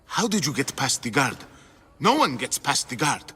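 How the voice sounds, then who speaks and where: An actor playing an anxious, vain Greek artist in a video game, fretful and theatrical. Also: male voice, medium pitch, very animated, moderate pace, pushed and bright, husky, full character voice. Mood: nervous relief. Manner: fretful, dramatic. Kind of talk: video game cutscene acting.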